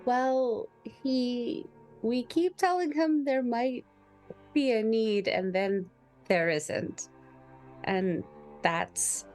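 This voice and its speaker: A woman's voice: fairly high pitch, extremely slow, a little mellow, poor audio. A woman playing a tabletop roleplaying game, improvising casually in short, hesitant phrases. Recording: music playing behind the voice.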